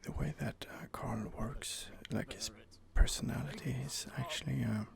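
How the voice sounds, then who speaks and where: A man's voice: mid-range voice, moderate pace. A man hosting a whispered ASMR let's play, talking hesitantly with many fillers and restarts. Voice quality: whispered, gravelly. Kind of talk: whispered ASMR gameplay commentary.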